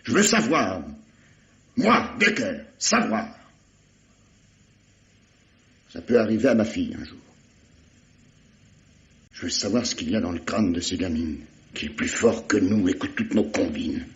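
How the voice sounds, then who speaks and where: Husky, very deep, weary detective. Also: male voice, sing-song, moderate pace, long pauses, a bit bright, exaggerated voice. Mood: weary, obsessed. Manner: menacing, insistent, mock-paternal questioning. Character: gruff, weary, relentless male police detective interrogating a suspect. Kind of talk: 1950s French radio mystery acting. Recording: dull vintage radio drama recording.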